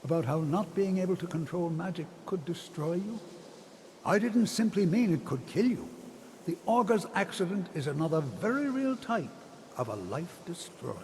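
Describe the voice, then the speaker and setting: Kindly old wizard, fantasy game, creaky higher-set voice, reflective, hesitant, measured. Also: male voice, lively intonation, darkish tone. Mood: grave. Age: older. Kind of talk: fantasy video game character dialogue. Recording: long hall reverb, noisy ambience.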